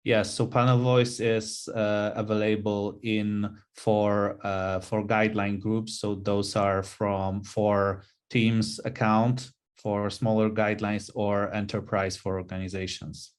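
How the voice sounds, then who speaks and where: A middle-aged man giving explanatory answers on a webinar video call, halting and pausing mid-sentence. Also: lowish voice, little pitch movement, clean tone. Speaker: webinar panelist.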